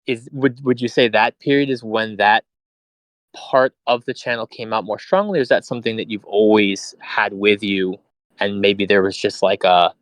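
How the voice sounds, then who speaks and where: Soft-spoken interviewer, lowish voice. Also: male voice, steady tone, moderate pace, no room sound. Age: late 30s or older. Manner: hesitant, searching phrasing with many fillers. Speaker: host. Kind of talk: casual interview questions. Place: online voice chat.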